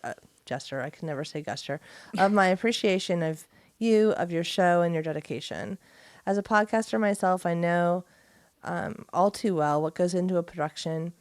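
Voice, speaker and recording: A woman's voice: on the low side, dry recording. A woman co-hosting a podcast in casual, chatty conversation, sometimes reading aloud. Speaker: podcast co-host. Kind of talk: casual chat, reading listener mail aloud.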